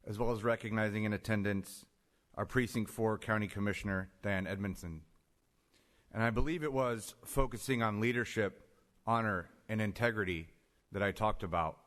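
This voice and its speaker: A man's voice: lowish voice, a bit bright. A middle-aged man giving a prepared opening statement as a candidate for mayor. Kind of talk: prepared campaign speech.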